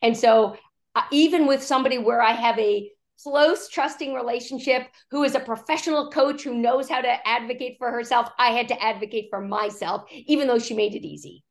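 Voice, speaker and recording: A woman executive coach, hoarse from a cough, talking in a podcast interview and acting out quoted speech as she tells stories. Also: fairly high pitch, fairly quick. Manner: animated storytelling, acts out quoted speech.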